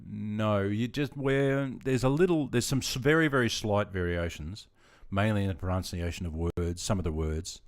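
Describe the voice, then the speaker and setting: Deep-voiced Australian man, chatty. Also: moderate pace. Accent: Australian, with some Queensland features. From Sydney, Australia. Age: middle-aged. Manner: chatty, friendly, greets viewers by name. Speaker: gaming livestreamer. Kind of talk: casual chat with viewers.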